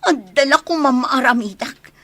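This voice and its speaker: An actress plays a scheming young woman with a high voice and a dry, close sound. She is spiteful and aggrieved, complaining her way through a radio-style drama. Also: normal speed, a bit bright, no echo. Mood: sulky, scheming. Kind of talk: Filipino radio-style audio drama acting.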